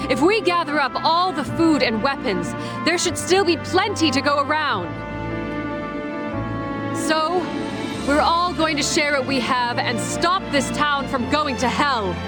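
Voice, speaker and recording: A woman voicing a bold, resolute lieutenant in a video game, commanding and plain-spoken, over loud music. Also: high voice, lively intonation, takes it slowly, pauses often. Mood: rousing resolve. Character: bold, resolute female lieutenant rallying townspeople. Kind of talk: dramatic video game voice acting.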